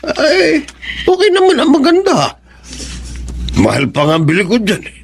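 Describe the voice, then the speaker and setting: Swooping, vain middle-aged suitor. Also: male voice, very high voice, wildly swooping pitch, moderate pace, vocal fry, a little hiss or hum, exaggerated voice. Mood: coaxing. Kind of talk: Filipino radio drama acting.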